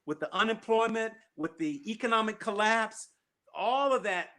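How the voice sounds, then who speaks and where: Male call-in host, high ringing voice, emphatic and repetitive. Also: lively intonation, normal speed, bright, forceful tone. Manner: emphatic, repeats key points. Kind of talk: casual call-in chat.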